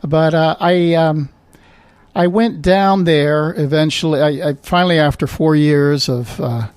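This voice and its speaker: A man's voice: a little high, a little slow, a little mellow, smooth, dry recording. Hesitant middle-aged man from Guatemala. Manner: hesitant, many fillers and restarts. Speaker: physician guest. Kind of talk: conversational interview.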